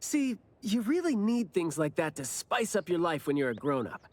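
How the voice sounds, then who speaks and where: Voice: mid-range voice, big pitch swings, moderate pace, a little mellow, dry recording. Breezy, teasing, swooping young slacker. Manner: playful, teasing, sing-song. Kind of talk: anime video game voice acting.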